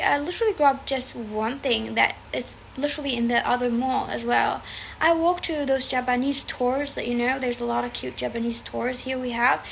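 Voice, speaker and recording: High, gravelly Arabic-native woman rambling. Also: slight background noise. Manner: chatty, rambling, swears freely. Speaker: tarot reader. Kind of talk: casual livestream chat. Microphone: phone livestream.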